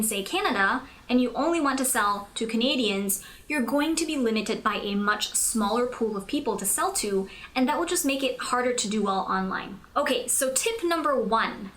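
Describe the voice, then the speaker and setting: Higher-pitched young woman giving a tutorial. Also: fairly bright tone. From Malaysia. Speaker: host of a business tutorial channel. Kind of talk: scripted tutorial to camera, conversational.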